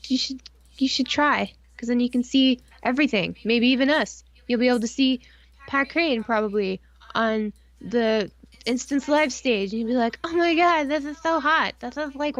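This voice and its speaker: A woman's voice: high voice, moderate pace, lo-fi sound. A woman co-hosting a casual live stream, bantering in an irreverent, rambling, sweary way. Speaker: podcast co-host on a live stream.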